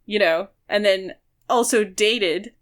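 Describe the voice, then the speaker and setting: A woman's voice: mid-range voice, slow. A woman co-hosting a podcast, casually discussing a book and explaining her reading at length in an analytical way. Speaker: podcast co-host.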